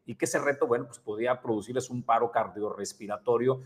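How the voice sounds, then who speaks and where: A man anchoring the news with lively, emphatic, conversational commentary. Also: medium pitch, brisk, in a large hall.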